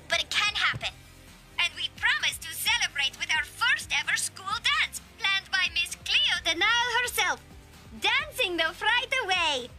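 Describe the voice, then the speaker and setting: A woman voicing a peppy, eager teenage monster girl in a cartoon, theatrical and enthusiastic, with music behind her. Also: very high voice. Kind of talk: animated cartoon acting.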